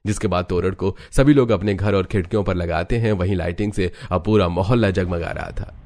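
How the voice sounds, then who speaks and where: A man's voice: low voice, a little fast, a little mellow. A man narrating a scripted family cartoon story as its storyteller. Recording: music bed under the voice.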